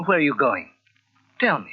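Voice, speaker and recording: Stubborn older husband, husky and excitable, voice jumps around, muffled old radio drama. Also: male voice, a little high, normal speed. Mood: pleading, stubborn. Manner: earnest, pleading, excitable. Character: earnest, clinging older well-to-do husband, pleading and stubborn. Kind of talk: old-time radio mystery drama acting. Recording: dull old radio recording from cassette.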